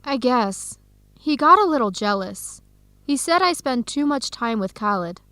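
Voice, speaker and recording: An actress playing an earnest young Ethiopian-student in a radio drama. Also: female voice, a little high, animated, a little slow, bright, forceful tone, dry recording, heavily acted. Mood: explaining, defensive. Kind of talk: radio drama acting, simulated video call.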